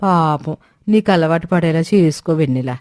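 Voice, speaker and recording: A woman's voice: sits low, expressive, normal speed, darkish tone, no room sound, clean studio sound. A woman acting a meddling, judgmental village gossip in a moral story. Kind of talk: acted moral-story dialogue.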